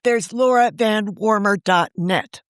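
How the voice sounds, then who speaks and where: A woman's voice: medium pitch, slow pace, no room sound, clean studio sound. A woman novelist talking as an interview guest, telling stories in a chatty way and laughing often. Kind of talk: casual interview chat.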